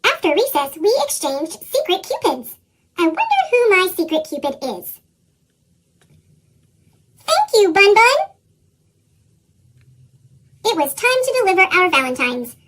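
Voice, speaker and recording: A woman voicing an excitable young animal schoolchild from a picture book, bubbly and exclaiming, through a squeaky pitched-up chipmunk effect. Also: unhurried, long pauses, heavily acted. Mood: excited.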